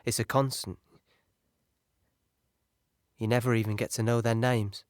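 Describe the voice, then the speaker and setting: A man playing a weary young infantryman, recalling battle in a halting, conversational audio-play monologue. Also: mid-range voice, little pitch movement, no room sound, clean studio sound, close to an everyday voice. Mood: grim, reflective.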